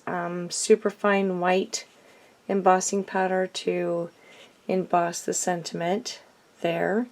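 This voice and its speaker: Woman vlogger, hoarse from a cold, unhurried and chatty, mid pitch. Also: darkish tone. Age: adult. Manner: chatty, with frequent ums and self-corrections. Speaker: card-making vlogger. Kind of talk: casual craft show-and-tell.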